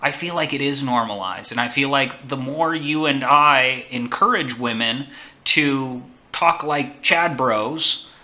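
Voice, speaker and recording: A man hosting a casual chat, speaking in a measured, deliberate way. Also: medium pitch, sharp tone. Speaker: host.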